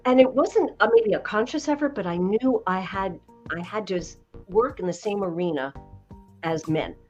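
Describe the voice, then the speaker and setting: A woman in her sixties with a slightly low voice, a voice coach telling stories in an interview with slow, emphatic phrasing. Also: lively intonation, darkish tone, dull audio, soft background music. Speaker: voice coach guest. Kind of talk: conversational podcast interview.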